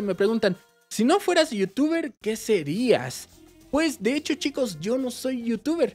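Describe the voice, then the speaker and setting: A Mexican man in his thirties with a Mexican Spanish accent, hosting a casual Q&A vlog for his gaming channel, chatty and animated. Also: sits high. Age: 30s. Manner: chatty, animated, waves arms while talking. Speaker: YouTube gaming channel host. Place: small indoor room with game shelves.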